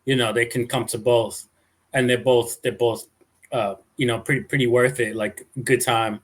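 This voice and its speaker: A man's voice: medium pitch, steady tone, normal speed. Chill guy guest on a live video chat, easygoing, says man a lot. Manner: laid-back, says "man" and "epic". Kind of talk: casual live interview chat.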